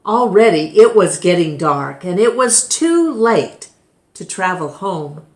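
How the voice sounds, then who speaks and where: A woman reading a children's story aloud expressively, with deliberate, dramatic phrasing. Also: on the low side, very animated, darkish tone. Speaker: storybook reader, storytime host. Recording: echoey room.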